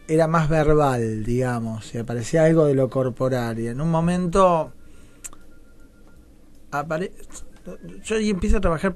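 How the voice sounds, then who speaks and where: Argentine man talking reflectively, slightly high-pitched. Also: a little mellow. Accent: Argentine, Rioplatense. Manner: digressive, often seeks agreement with tag questions. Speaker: guest, theatre director. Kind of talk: reflective radio interview talk.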